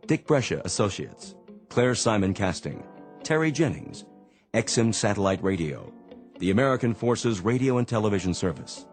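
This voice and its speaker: A man working as a radio announcer, reading scripted credits and program notes. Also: lowish voice.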